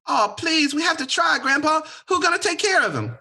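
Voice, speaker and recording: A woman's voice: high voice, sing-song, a little fast, pushed and bright, heavily acted. A woman playing an eager, pleading young country boy with a Southern US accent in an audio drama. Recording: noisy, with clicks.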